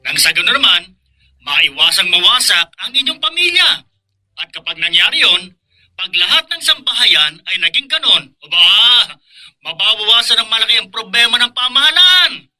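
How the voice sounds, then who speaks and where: Piercingly bright Filipino radio host. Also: male voice, high voice, very animated, moderate pace, exaggerated voice, rough recording. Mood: earnest advising. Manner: chatty, emphatic, admonishing. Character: preachy, chatty radio host advising wives.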